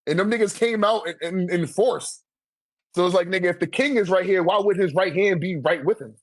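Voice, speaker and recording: A man's voice: sits high, brisk, dry recording. A man in a casual debate, speaking informally with heavy slang and swearing. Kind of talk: casual debate about manga character rankings.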